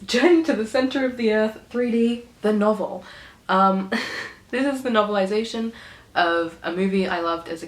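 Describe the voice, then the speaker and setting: A young Australian woman chatting casually about books to camera, a bit hoarse from illness, rambling and laughing often. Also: medium pitch. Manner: chatty, giggly, rambling with fillers. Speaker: book vlogger. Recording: echoey room.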